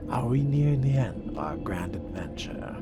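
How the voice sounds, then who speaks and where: A man voicing a refined, jaded vampire in a video game roleplay, speaking in formal, haughty phrases with a breathy, often near-whispered delivery. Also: medium pitch, very animated, heavy background noise. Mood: dry musing. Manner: haughty, measured, formal wording. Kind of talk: in-character game roleplay monologue.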